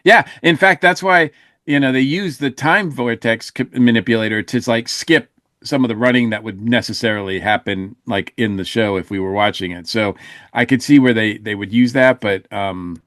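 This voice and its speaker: A man with a mid-pitched voice gives a casual podcast review. He feels his way through his opinions, often hesitant as he searches for words, with a close, dry sound. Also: normal speed, fairly bright tone, no room sound. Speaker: podcast co-host reviewing audio dramas.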